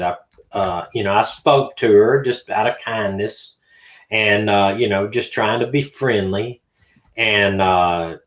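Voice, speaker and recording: A man's voice: on the low side, fairly bright tone. A middle-aged man with a Southern American accent, rambling in a folksy way as host of a casual livestream chat. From Southern United States. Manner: rambling, folksy, many fillers and restarts. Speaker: livestream host, retired detective. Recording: roomy echo.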